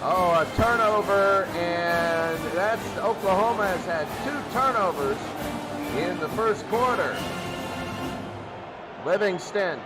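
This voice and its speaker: A man calling simulated football games as a play-by-play announcer, his voice jumping up and getting loud on big plays. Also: high-pitched, slow, loud music behind the voice, poor audio. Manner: excitable, voice jumps up and gets loud on big plays. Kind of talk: simulated radio play-by-play commentary.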